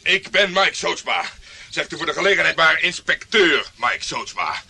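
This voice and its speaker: Radio play: male private eye, swaggering loner, hot-headed, patronizing, very lively intonation. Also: a little high, moderate pace, creaky, a little hiss or hum, exaggerated voice. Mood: agitated, threatening. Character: swaggering, blustering male private detective, tough-guy loner. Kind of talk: Dutch radio drama acting.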